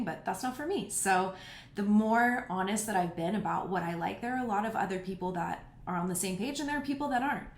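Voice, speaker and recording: Candid woman in her thirties, roomy echo. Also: medium pitch. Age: 30s. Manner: frank and candid, speaks bluntly about personal topics. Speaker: podcast host answering listener questions. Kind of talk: casual solo podcast talk.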